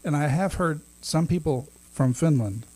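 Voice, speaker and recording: Easygoing guy in his 30s hosting a podcast, laughs a lot, trips over his words, kinda husky. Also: on the high side, moderate pace, mellow, low-quality recording. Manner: laughs often, rambling with restarts. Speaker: podcast co-host. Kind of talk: casual chat.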